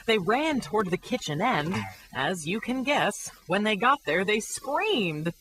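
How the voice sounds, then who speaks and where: A woman narrating a rhyming children's story with an expressive, scripted delivery. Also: medium pitch, a little slow.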